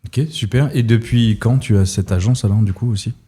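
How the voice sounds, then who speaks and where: A man's voice: on the low side, fairly quick, dark tone, husky. A man hosting an interview podcast, asking friendly, somewhat hesitant questions. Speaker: podcast host.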